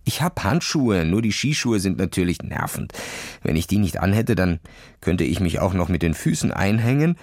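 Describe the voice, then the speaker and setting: A man's voice: low voice, very animated, normal speed, darkish tone, no room sound, studio quality, voice pushed down. A man voicing a cocky, impatient schoolboy who boasts and taunts in a children's audio story. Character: cocky, impatient, daredevil schoolboy acting cool.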